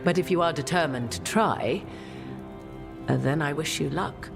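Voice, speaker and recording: A woman playing a regal, worldly-wise witch in a fantasy game, with formal, archaic and measured phrasing. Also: low-pitched, expressive, vocal fry, full character voice. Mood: measured, explanatory. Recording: music under the voice.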